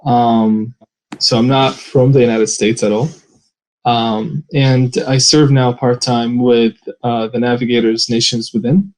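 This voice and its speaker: A man's voice: mid-range voice, little pitch movement, a little slow, low-quality recording. A man, from Arabia, telling his story as an interview guest with many hesitant fillers. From Saudi Arabia. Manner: frequent hesitant fillers, soft laughs. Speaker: guest, immigrant ministry worker. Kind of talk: personal testimony in interview.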